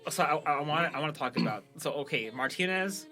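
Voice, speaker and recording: A man hosts a casual talk podcast with an informal, easygoing way of speaking. His voice is bright and forward and sits a bit higher than average. Faint music plays behind him. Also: lively intonation, quick pace. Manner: informal, laughs easily. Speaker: podcast host, show creator. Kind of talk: casual banter and discussion.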